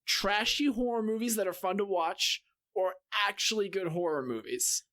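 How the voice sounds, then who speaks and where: A man's voice: sits high, animated, moderate pace, pushed and bright. A man talking casually as a podcast co-host, explaining things in an emphatic way.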